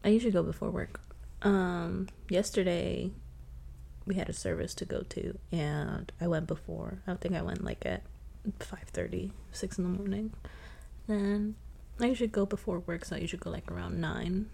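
A woman's voice: on the low side, steady tone, moderate pace, creaky, warm tone, husky. A young woman co-hosting a podcast in casual chat, laughing often and swearing freely. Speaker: podcast co-host.

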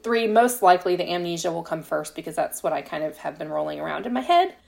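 A woman's voice: fairly low pitch, lively intonation, fast talker, creaky, darkish tone. A woman being interviewed as a guest author, talking casually and explaining things in a tentative, thinking-aloud way. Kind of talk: casual podcast interview chat.

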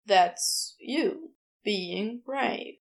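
A woman voicing a tender, devoted man who speaks softly and sincerely in an audiobook. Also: medium pitch, extremely slow, voice pushed down. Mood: reassuring. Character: low-voiced tender man, quietly devoted lover. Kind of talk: audiobook character dialogue.

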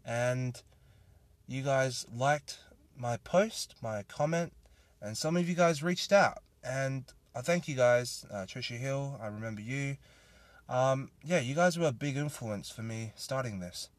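A man's voice: medium pitch, lively intonation, measured pace, no room sound. A young Australian man hosting a solo podcast, talking in an earnest, rambling and grateful way. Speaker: podcast host. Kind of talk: casual solo podcast monologue.

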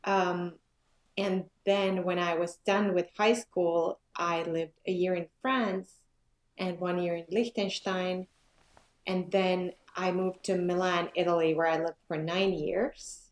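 A Finnish-born woman in her forties answers interview questions slowly and hesitantly, with plenty of fillers as she thinks aloud. Her voice sits at a middle pitch and carries the echo of a bare room. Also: steady tone. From Finland. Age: 40s. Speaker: guest, yoga business owner. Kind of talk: casual conversation. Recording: echoey room.

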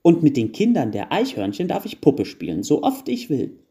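Male narrator as gnome children, storybook, raised pitch, eager, pleading, excitable. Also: moderate pace. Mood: eager, demanding.

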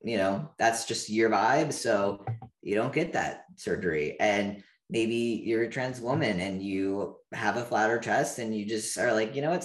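Candid male interview guest, 30s, lowish voice, room echo. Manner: open, animated, frequent "like" fillers. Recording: echoey room.

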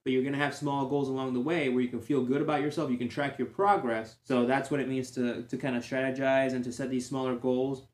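A man hosting a fitness podcast, giving encouraging advice in a chatty way with joking tangents. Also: medium pitch. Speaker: certified fitness trainer. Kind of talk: casual solo podcast talk. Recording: roomy echo.

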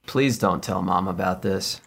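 A woman playing a bored, sarcastic sixteen-year-old sister with a teasing, deadpan manner in a comedy script read. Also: very deep voice, a little slow, vocal fry, husky, heavily acted.

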